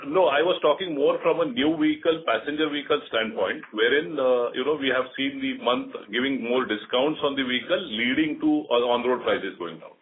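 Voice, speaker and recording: A man's voice: mid-range voice, a little fast, hardly any pauses. A man with an Indian accent, a company executive, giving an unscripted explanation on an earnings call. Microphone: telephone conference call. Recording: noisy phone line with clicks.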